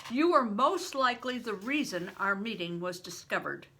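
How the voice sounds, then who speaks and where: An older woman voicing grim male conspirators who speak slowly and heavily. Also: mid-range voice, animated, a bit bright, pitched above the performer's own voice. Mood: grave.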